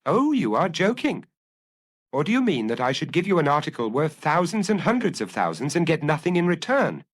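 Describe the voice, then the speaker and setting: A man's voice: on the high side, very animated, brisk, voice pushed up. A man voicing a fearful murder suspect in an audiobook detective story, nervous and defensive while feigning indifference. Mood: desperate. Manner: nervous, defensive, struggling to sound unconcerned. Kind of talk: audiobook character acting.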